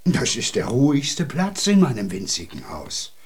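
A man's voice: mid-range voice, wildly swooping pitch, measured pace, darkish tone. An actor playing a kindly, pitying bald man in a children's radio play, soothing and caring in manner. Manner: soothing, indignant on the child's behalf.

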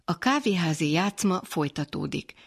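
A woman narrating the program, reading a script in an even announcing manner. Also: on the low side, vocal fry, breathy edge.

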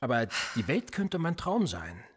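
An actor playing a menacing police interrogator in a radio play, needling and mocking with a husky voice. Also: male voice, medium pitch, big pitch swings, unhurried. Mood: insinuating. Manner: needling, insinuating questions. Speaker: police officer.

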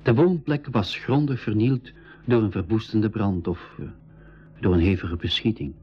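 An actor narrating an adventure for a school radio drama in a measured, serious way. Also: male voice, on the low side, breathy edge. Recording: dull band-limited 1980s radio recording.

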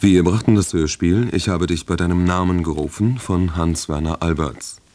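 A male radio announcer with a deep voice reads prepared broadcast copy. His delivery is measured and formal. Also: darkish tone. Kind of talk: scripted broadcast announcement, credits and preview.

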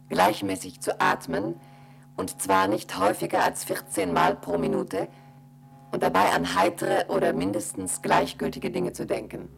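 Gravelly monotone station computer. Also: male voice, low-pitched, a little hiss or hum, heavily acted. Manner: dry instructional delivery, repeats instructions. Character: station computer reading emergency instructions. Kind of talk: radio play.

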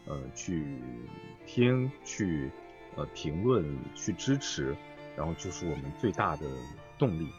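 A man chatting as a voice actor guest in a live interview, phrasing things haltingly. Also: low voice, dull audio. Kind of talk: casual live interview chat.

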